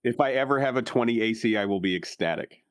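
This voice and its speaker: A man's voice: mid-range voice, fast talker, background noise. A man chatting casually as a player in a tabletop fantasy game.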